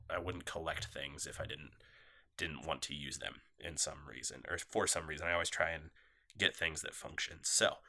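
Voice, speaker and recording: Podcast host, man, congested and nasal, loose apologetic chat, bright edge to the tone. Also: low-pitched. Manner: rambling, apologetic, loose and laid-back. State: nasal from a cold, coughing. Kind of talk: casual solo podcast chat. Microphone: phone set down nearby.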